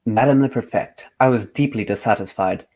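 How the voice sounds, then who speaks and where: An actor playing a composed, courteous gentleman detective in a radio drama, speaking in a formal, measured way. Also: fairly low pitch. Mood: earnest recounting.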